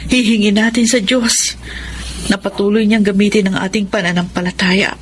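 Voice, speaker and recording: A woman's voice: medium pitch, little pitch movement, moderate pace, slight background noise, natural voice. A woman playing a devoted, faithful wife in a Filipino radio drama. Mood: tender, hopeful.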